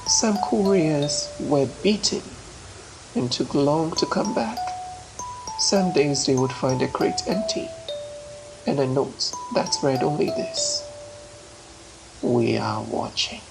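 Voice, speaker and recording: A woman storyteller with a gravelly voice tells a folktale at a slow, deliberate pace. Her phrasing is emphatic and measured, with dramatic pauses, over a clear bed of music. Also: animated, poor audio. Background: ambient marimba, xylophone and low nature sounds.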